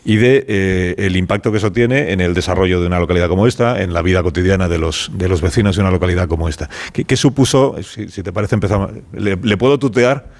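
Deep-voiced radio host, chatty. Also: male voice, fast, hardly any pauses. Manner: chatty, friendly, repeats words as he goes. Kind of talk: on-location radio interview.

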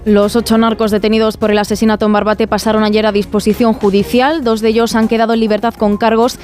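A woman's voice: mid-range voice, flat delivery, quick pace, darkish tone, soft background music. A woman with a Castilian Spanish accent presenting scripted radio news.

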